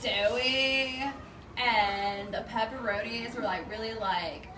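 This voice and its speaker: Woman doing a high, whiny spoiled girl customer. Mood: disgusted, whiny. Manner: drawn-out valley-girl whining with sighs. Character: whiny, impatient, spoiled young female customer. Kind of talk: improvised comic puppet show. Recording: roomy, distant mic with background noise.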